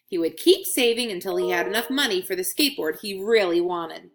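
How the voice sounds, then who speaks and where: A woman's voice: lowish voice, fairly quick. A woman narrating a children's storybook as a read-aloud. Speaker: storybook reader.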